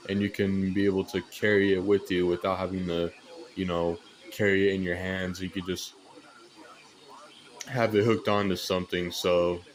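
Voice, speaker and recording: Male hobbyist, American, fairly low-pitched, flat monotone delivery, casual and unhurried show-and-tell. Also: a little hiss or hum, low-quality recording. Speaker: radio hobbyist presenter.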